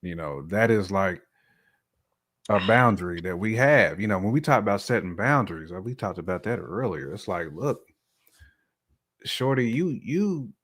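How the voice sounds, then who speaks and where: A man's voice: on the low side, animated, normal speed, fairly bright tone. A man co-hosting a talk show, giving his opinions casually in colloquial, slangy speech. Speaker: podcast co-host giving a man's point of view.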